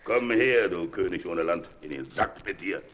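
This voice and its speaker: A greedy timber merchant, a villain of children's radio drama. His lowish voice is brusque and commanding, with clipped, theatrical delivery and no pity. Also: lively intonation, moderate pace, a bit bright. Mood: stern, gloating. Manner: curt, commanding, clipped catchphrases.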